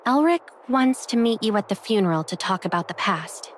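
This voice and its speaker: A woman's voice: mid-range voice, animated, a little fast, slight background noise, lightly acted. A woman voicing a skeptical young protagonist in an anime game, guarded and questioning in manner. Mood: hesitant.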